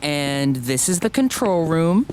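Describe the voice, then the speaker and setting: A man's voice: fairly high pitch, sing-song, a little slow, heavy background noise. A man playing an easygoing, clueless guide to a control room in a sci-fi comedy audio drama, casual and wry. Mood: casual, sheepish.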